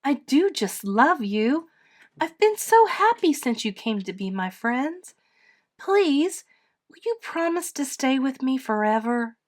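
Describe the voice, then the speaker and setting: A woman's voice: high-pitched, sing-song, slow pace, voice pushed up, heavily acted. A woman voicing an emotional, lonely little girl from a storybook in an earnest, childlike manner. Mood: grateful, pleading.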